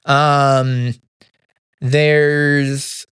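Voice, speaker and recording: A young man hosting a podcast, giving a casual monthly recap in an upbeat, halting way with many restarts and a throat that sometimes sounds slightly gravelly. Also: medium pitch, flat delivery, a bit bright, no echo, studio quality. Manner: upbeat, laughs easily. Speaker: podcast host.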